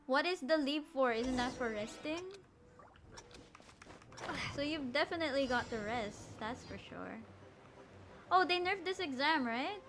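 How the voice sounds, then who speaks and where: A woman casually commentating as she livestreams a game, playful, slangy and quick to react. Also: high voice, animated, noisy background. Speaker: game streamer. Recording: game music under the voice.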